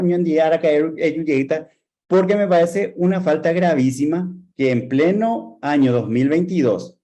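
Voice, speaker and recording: A man's voice: a little high, warm tone. Earnest Paraguayan man lecturing teachers. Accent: Paraguayan Spanish. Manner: earnest, rhetorical questions, measured emphasis. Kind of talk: academic talk to teachers.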